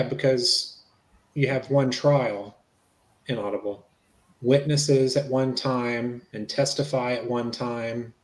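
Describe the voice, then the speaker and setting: A man's voice: medium pitch, close to an everyday voice. A man playing a polite, reassuring police investigator in a conversational transcript reading, repeating himself as he goes. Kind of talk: dramatic reading of an interview transcript. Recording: echoey room.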